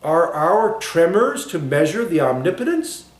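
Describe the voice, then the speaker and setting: A courteous playwright from a storybook, voiced by a male reader. He is measured and wry, fairly high in pitch, and sounds in an echoey room. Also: big pitch swings. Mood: wry, assured.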